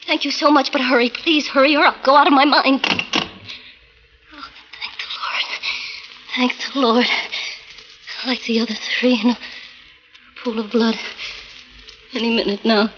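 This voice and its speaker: A woman's voice: high voice, a bit bright. An actress playing a frightened, frantic married woman in peril, in breathless 1940s radio melodrama style. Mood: fearful. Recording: muffled, noisy 1940s radio recording.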